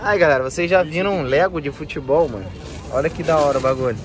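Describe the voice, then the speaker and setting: Excited Carioca man at a flea market. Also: on the high side, fast talker, background noise. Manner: excited, slangy, exclaims often. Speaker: vlogger. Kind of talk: casual handheld market vlog. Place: outdoor street flea market. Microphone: phone camera held in hand.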